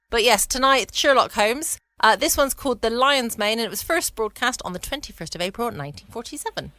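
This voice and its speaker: A woman's voice: medium pitch, sharp tone, no echo. An English woman hosting a podcast in casual, chatty bedtime style, cheerfully self-deprecating. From United Kingdom. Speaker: podcast host. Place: quiet room at home, dry close sound.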